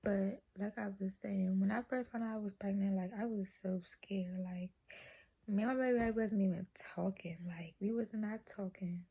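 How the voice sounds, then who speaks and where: A young woman vlogger with a naturally low voice, chatting casually to a camera held close to her face, talking softly and rambling with lots of fillers. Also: fairly even intonation, moderate pace, husky. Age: young adult.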